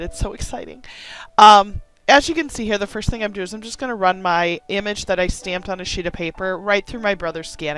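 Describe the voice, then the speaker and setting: Hoarse woman, craft tutorial host, gushing and excited, medium pitch, faint music underneath. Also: poor audio. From Wisconsin, USA. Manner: enthusiastic, gushing. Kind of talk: casual card-making tutorial.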